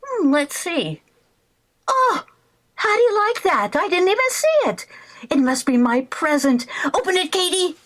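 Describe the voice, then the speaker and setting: An excitable, scheming housewife from a vintage radio comedy, acted by a woman. Her voice is very high and swoops up and down as she exclaims theatrically. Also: a little slow, heavily acted. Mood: sly. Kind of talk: old-time radio comedy acting.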